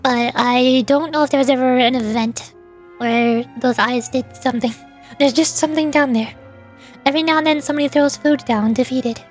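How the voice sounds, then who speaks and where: A woman's voice: high voice, fairly even intonation, moderate pace, soft background music. A woman streamer rambling casually, explaining things in a chatty way with playful asides.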